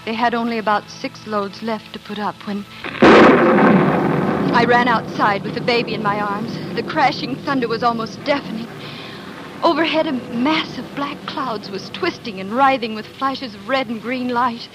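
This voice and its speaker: A woman's voice: a little high, moderate pace, close to an everyday voice, low-quality recording. An actress playing an earnest young pioneer farm wife and mother, telling her story in a 1940s radio drama. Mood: grave storytelling. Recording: 1940s radio recording with music.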